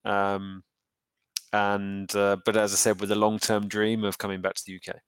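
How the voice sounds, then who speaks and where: A man in his thirties answering interview questions in a thoughtful, halting way, full of ums and restarts. His voice sits low and comes through smooth and resonant. The recording is close and dry, with little room sound. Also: monotone, no room sound. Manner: thoughtful, hesitant with many fillers and restarts. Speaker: entrepreneur guest.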